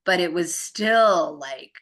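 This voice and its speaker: A woman with a notably low voice that still sounds bright and forward. She explains her ideas conversationally and earnestly, rambling informally and often checking in with the listener. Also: animated, slow. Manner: informal, earnest, rambling with frequent tag questions.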